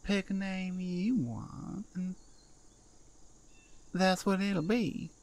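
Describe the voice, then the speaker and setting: Woman doing a soft southern cowgirl. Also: medium pitch. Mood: soothing. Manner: soft, slow, soothing drawl. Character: gentle southern cowgirl sheep farmer, cow-woman. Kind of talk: ASMR roleplay.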